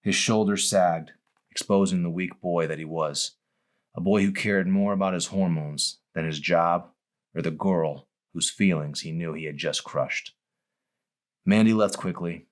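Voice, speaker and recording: Deep-voiced man narrating a novel dramatically. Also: measured pace. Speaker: author reading own novel.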